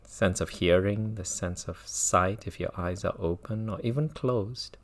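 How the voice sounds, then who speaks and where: A man guiding a mindfulness meditation as a teacher, speaking in measured phrases with long pauses and repetition. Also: low voice.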